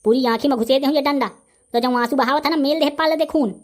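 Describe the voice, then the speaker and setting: A man's voice: extremely high pitch, fast talker. A man with a Bundelkhandi accent voicing a short-tempered, boastful village bully who rants threats in a comic cartoon. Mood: menacing.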